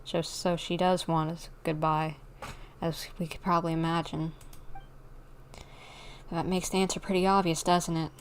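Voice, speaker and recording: A woman livestreaming a game, commenting and reading dialogue aloud, excitable and cheering herself on. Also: fairly low pitch, normal speed, pauses often, a little hiss or hum. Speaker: game streamer.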